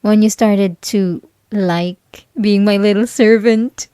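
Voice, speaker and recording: A young woman playing a mocking, possessive bully in an intimate ASMR roleplay, condescending and teasing, with breathy asides. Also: medium pitch, steady tone, slow pace, warm tone, no echo, studio quality, close to an everyday voice. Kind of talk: ASMR roleplay, intimate scripted monologue to listener.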